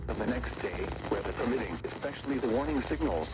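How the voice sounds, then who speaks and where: A man's voice: mid-range voice, lively intonation, fast talker. A synthetic man's voice reading a formal, scripted public service announcement over the radio. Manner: formal, impersonal. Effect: radio broadcast. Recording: very noisy weather radio reception.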